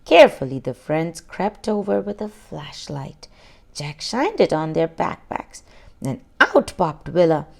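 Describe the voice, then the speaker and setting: A woman reading a children's storybook aloud expressively, pausing to put questions to young listeners. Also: low voice, sing-song, creaky. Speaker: children's book reader.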